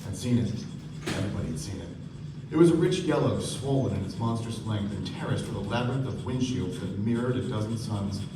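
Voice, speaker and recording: A man playing a polite, observant young gentleman who narrates a radio play on stage. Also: on the low side, fairly quick, lightly acted. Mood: measured, reflective. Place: theater stage before an audience. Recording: echoey stage, distant mic, noisy room.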